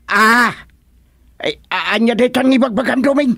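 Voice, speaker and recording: High, excitable, exasperated father. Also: moderate pace, creaky, dry recording, clean studio sound, exaggerated voice. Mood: alarmed. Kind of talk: comedic radio drama acting.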